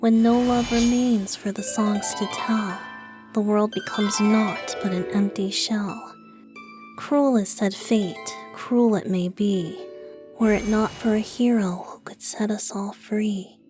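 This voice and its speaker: A woman's voice: mid-range voice, steady tone, takes it slowly, natural voice. A woman voicing a playful, whimsical boyish wind-god bard in a video game, airy and theatrical. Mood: theatrical, wistful. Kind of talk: English video game dub. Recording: music behind the voice.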